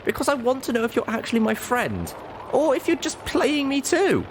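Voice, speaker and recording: Male reader as young girl pony, high pitch, earnest, feisty, storybook character, faint background noise. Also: huge pitch swings, moderate pace, full character voice. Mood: indignant.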